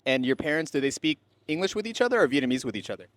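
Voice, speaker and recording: A man's voice: on the high side, very animated, very fast, fairly bright tone, no room sound. A man interviewing a guest in a casual conversation, curious and asking follow-up questions. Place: in Vietnam.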